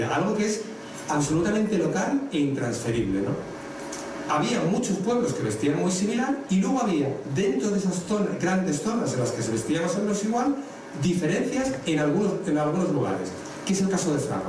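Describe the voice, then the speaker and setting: A man's voice: fairly high pitch, sing-song, a little fast, a little mellow. Madrid-accented man lecturing in an echoey hall. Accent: Madrid Spanish. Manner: explanatory, addresses the audience directly. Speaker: guest lecturer on traditional dress. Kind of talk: public lecture with slides. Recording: echoey hall, distant mic, faint noise.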